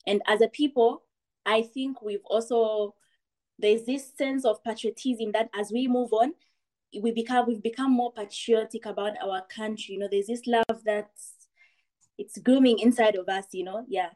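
A woman's voice: a little high, steady tone, moderate pace, warm tone. A young woman from Zambia, a guest on a podcast, talking in long thoughtful explanations. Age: young adult. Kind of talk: casual podcast chat.

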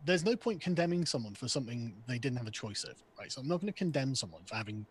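A man debates over a video call mic. His voice is husky and sits at a middling pitch, and he breaks in often, stammering through restarts. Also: sing-song, fast talker.

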